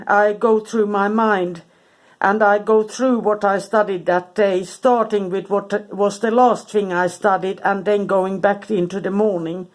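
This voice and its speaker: Sniffly woman talking haltingly. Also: medium pitch. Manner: hesitant, many ums and restarts. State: congested with a cold, sniffling. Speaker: spiritual content creator. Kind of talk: casual informal talk to camera.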